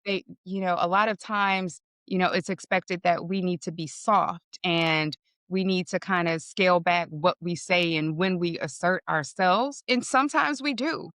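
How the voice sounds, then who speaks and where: A woman guest with a deeper voice, explaining in a measured way and often checking with "right?". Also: a bit bright, dry recording, clean studio sound. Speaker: podcast guest. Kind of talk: casual interview conversation.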